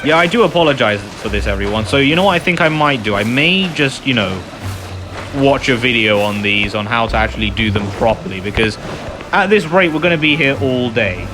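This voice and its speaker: A man narrates his video game playthrough in a casual, chatty way. His voice sits at an average pitch, and he is apologetic and self-deprecating. Game music is clearly audible behind him. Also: lively intonation, a little fast. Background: loud video game music.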